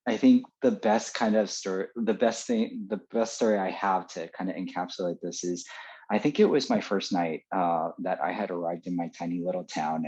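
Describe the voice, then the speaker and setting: A man with an American accent, a podcast guest telling stories in a loose, chatty way, full of fillers and quick laughs. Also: deep voice, darkish tone, slightly rough. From Los Angeles, USA. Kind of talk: casual storytelling. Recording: dull lo-fi sound.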